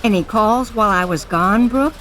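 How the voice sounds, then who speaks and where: A woman's voice: medium pitch, normal speed, background noise, close to an everyday voice. A woman acting a bossy, wary store owner, curt and irritable, in an audio drama. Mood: curt, annoyed.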